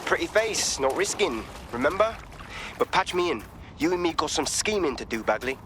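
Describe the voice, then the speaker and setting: An actor playing a wry, scheming hacker ally in a video game, speaking in clipped phrases. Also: male voice, on the high side, lively intonation, normal speed, a bit bright. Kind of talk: scripted video game dialogue. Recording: clear background noise.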